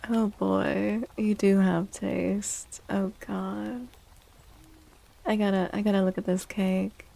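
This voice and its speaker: Soft asmr streamer lady, slow n creaky, chatting gently up close to the mic. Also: mid-range voice, level tone. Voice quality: soft, breathy at times. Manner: gentle, unhurried, drifting into whispers. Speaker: livestream host. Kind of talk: soft-spoken ASMR livestream chat. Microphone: close microphone.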